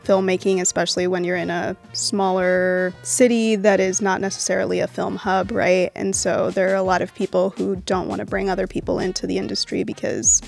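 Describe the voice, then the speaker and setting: A young adult woman from Venezuela, raised in Miami, talks as a film producer guest in a relaxed, casual interview, using lots of "um" and "like" fillers. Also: medium pitch, fairly quick, vocal fry, few pauses, soft background music.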